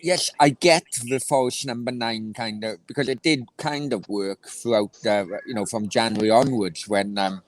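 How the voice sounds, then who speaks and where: A man's voice: medium pitch, moderate pace, hardly any pauses, dry recording, rough recording. A man with a South Wales accent hosting a casual football chat, rambling with many restarts. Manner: rambling, stumbling restarts, laughs easily. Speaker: host.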